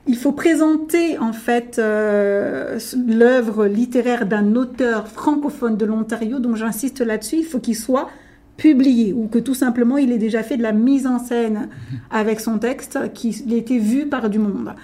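A middle-aged woman, a native French speaker, talking as a guest about her contest in an emphatic, animated way. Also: on the high side, measured pace. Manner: emphatic, animated, addresses listener directly. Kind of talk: casual interview talk.

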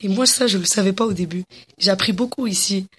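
A young woman from Morocco answering interview questions eagerly, often pausing and restarting her sentences. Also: medium pitch, slightly rough. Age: young adult. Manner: enthusiastic, many hesitations and restarts.

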